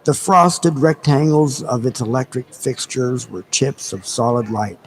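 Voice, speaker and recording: A man reading a novel aloud in a dramatic, deliberate, measured style with pauses. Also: medium pitch. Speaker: audiobook reader. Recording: noisy recording with background ambience. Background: background ambience sounds.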